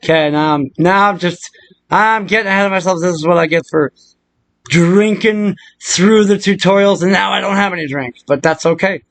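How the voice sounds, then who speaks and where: High-pitched man rambling through a crude tutorial. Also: normal speed, fairly bright tone, no room sound. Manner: crude, profane, joking; rambling, muttering asides to himself. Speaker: video tutorial host. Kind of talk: rambling casual software tutorial.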